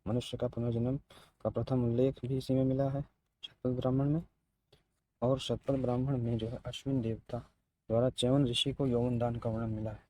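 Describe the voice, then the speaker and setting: A man giving an exam-preparation lecture as a teacher, haltingly repeating and restating his key phrases. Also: medium pitch, dark tone. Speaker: teacher of exam history lessons.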